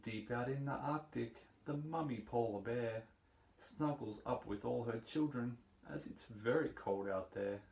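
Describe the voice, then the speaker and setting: A man reading a rhyming picture book aloud for young children in a measured, playful storytelling manner. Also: mid-range voice, gravelly, darkish tone, a little hiss or hum. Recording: roomy echo, distant microphone.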